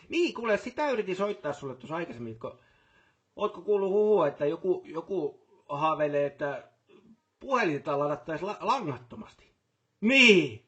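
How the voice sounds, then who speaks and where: A man's voice: a little high, bright, forceful tone, husky, full character voice. A man playing a busy, businesslike factory boss in a video game, talking colloquially and repeating short affirmations. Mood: chatty, casual. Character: busy, brisk male factory boss on the job. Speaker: voice actor for a game character. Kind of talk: game voice lines, phone calls and workplace speeches.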